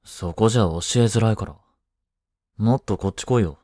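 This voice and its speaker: A young man playing a blunt, teasing but caring studious high-school boy in an intimate audio drama, speaking casually. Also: a little fast, no echo, lightly acted. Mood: casual, curt. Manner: casual, curt, softly teasing. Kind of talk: intimate Japanese boyfriend-roleplay audio drama.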